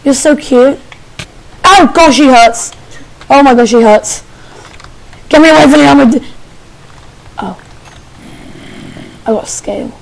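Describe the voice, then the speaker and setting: A young woman giving casual, excitable commentary while playing a game, squealing and making playful sing-song noises. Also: sits high, slow pace, vocal fry, long pauses, low-quality recording. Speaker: gamer. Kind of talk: casual let's play commentary.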